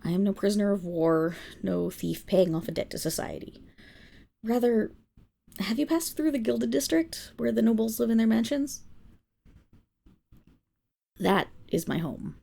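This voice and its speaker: A woman acts out a proud wolf-girl servant with a husky, slightly gravelly voice. Her pitch leaps and dips expressively as she speaks in haughty, formal phrases. It is intimate ASMR roleplay, indignant and easily flustered. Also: animated, warm tone. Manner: haughty formal diction, halting when flustered. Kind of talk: ASMR roleplay, dramatic voice acting.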